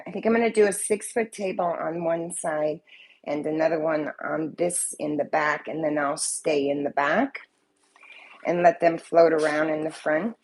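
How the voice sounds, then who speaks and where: Chatty congested woman. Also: low-pitched. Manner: rambling, talkative, swears casually. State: congested, slight cold. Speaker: vlogger, soap maker. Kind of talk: casual chat to camera. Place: at home, living room in view.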